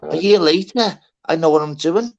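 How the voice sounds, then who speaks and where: A man's voice: a little high, moderate pace, gravelly, dull audio. A man hosting a live stream panel, chatting casually and often calling people "lad". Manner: chatty, fond of saying "lad". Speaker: host.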